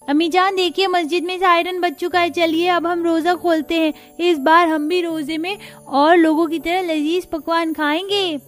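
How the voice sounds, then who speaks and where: A woman's voice: very high voice, fairly even intonation, normal speed, few pauses, faint music behind. A woman voicing an eager, sweet young child in a cartoon family story. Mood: excited. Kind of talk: Hindi cartoon story acting.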